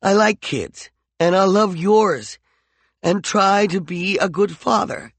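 A man's voice: high-pitched, takes it slowly, no room sound, pitched above the performer's own voice, exaggerated voice. A male narrator voicing a jealous, volatile man who swings from mockery to heated pleading. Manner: heated, mocking and intense.

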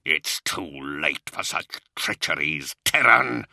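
A man voicing an anguished, bitter knight commander sliding toward madness, impassioned and full of weighted pauses, in a dramatic audiobook. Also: sits low, expressive, pushed and bright, dry recording, clean studio sound, full character voice. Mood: snarling. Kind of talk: dramatic audiobook character acting.

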